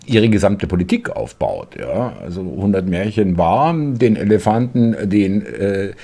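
A man in his fifties hosting casual political commentary from a home desk, halting with many filler sounds and sarcastic asides. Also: low voice, big pitch swings, vocal fry, warm tone, husky.

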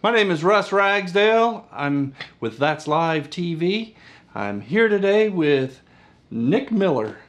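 A man in his forties hosts a TV studio interview, speaking a little high for a man. He talks chattily and laughs often. His questions ramble and stall before he gets to the point. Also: sing-song, slow, fairly bright tone. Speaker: interview host. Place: small TV studio with green screen.

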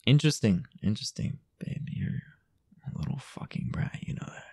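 A young man playing a possessive, jealous, dominant boyfriend in an intimate audio roleplay, halting and teasing, often dropping toward a whisper. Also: medium pitch, lively intonation, mellow. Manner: halting, teasing, breathy half-whispered asides.

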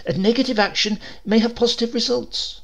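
A man voicing a thin-voiced male civil servant who sounds calm and reasonable. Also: very high voice, a little fast, gravelly, voice pushed up. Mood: dry.

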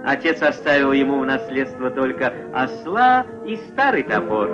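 A man narrating a puppet-film fairy tale as a wry, measured, expressive storyteller. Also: mid-range voice, natural voice. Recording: dull old film soundtrack, music behind.

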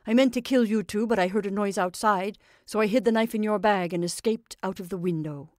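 A woman's voice: medium pitch, fairly quick, no echo, studio quality, lightly acted. A woman voicing a remorseful pleading convict. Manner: imploring, desperate.

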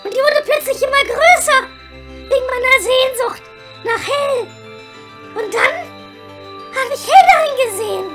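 A woman's voice: very high voice, unhurried, pauses often, very echoey, music in the background. A woman playing a lovesick young female elf in a comedy audio play, theatrical and full of sighs. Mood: excited explaining. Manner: theatrical, sighing, breathless repetition.

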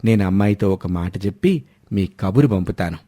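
Man voicing a courteous, deep-toned middle-aged father. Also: expressive, brisk, mellow. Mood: polite, pleased.

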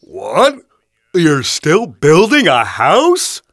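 A woman voicing a whiny, excitable little boy pig in a children's cartoon. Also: sits low, very slow, husky, full character voice. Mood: dismissive. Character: lazy, panicky first little pig, male. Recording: music behind the voice.

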